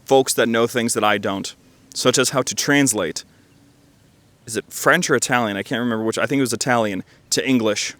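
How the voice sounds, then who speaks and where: A man's voice: mid-range voice, a bit bright. A man giving casual, joking commentary over gameplay, rambling and slangy with a self-deprecating manner. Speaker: video game reviewer and host.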